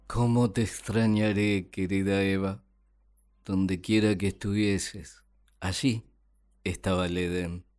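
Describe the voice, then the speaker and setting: An actor playing a naive, puzzled husband and father in a radio play, speaking in a deliberate, theatrical way. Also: low-pitched, clean tone, soft background music. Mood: mournful, loving. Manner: deliberate, theatrical, expressive delivery. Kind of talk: radio play monologue, dramatized diary.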